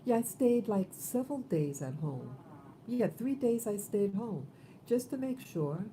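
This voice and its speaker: A woman in her fifties hosting a casual livestream, talking in a rambling, halting way with many fillers. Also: medium pitch, expressive, mellow, slight background noise, low-quality recording. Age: 50s. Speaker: livestream host.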